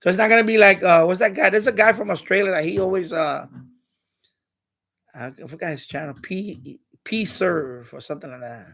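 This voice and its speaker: A man hosting a casual live stream, rambling and repeating himself in halting, unscripted sentences. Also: sits high, creaky, a bit bright. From New York City, USA.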